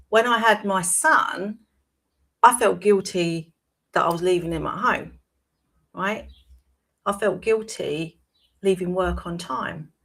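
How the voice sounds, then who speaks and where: A woman, hosting a live-streamed talk show. Her voice is hoarse and croaky, and she talks in a chatty way, often checking in with "right?". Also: fairly low pitch, fairly bright tone. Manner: chatty, tags points with "right?". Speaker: wellbeing coach and show host.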